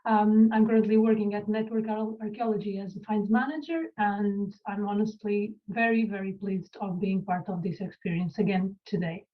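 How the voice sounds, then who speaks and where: A woman's voice: medium pitch, fairly even intonation, moderate pace, few pauses, lo-fi sound. A woman from Portugal, an archaeologist introducing herself politely and a little hesitantly in an online lecture. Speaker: archaeologist, finds manager. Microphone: video call.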